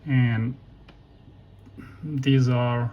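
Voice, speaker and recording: A man giving a casual, unscripted software walkthrough in a halting way, thinking aloud and correcting himself. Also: medium pitch, little pitch movement, highs cut off. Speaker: tutorial presenter. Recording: noisy room with keyboard typing. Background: computer keyboard clicks, ambient noise.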